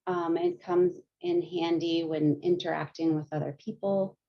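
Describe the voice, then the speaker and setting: A woman counselor talks a support group through breathing and easy stretches in a calm, gentle way, laughing lightly now and then. Her voice sits a little low. The sound is a dull, lo-fi video call. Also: unhurried. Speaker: counselor and guest speaker. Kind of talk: guided mindfulness and yoga instruction. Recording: muffled lo-fi video call audio.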